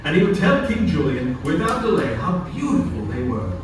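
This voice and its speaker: High-pitched, lively male storybook narrator. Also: normal speed. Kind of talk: scripted storybook narration for puppet show. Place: indoor attraction puppet show, heard from audience distance. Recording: echoey, distant venue with background noise.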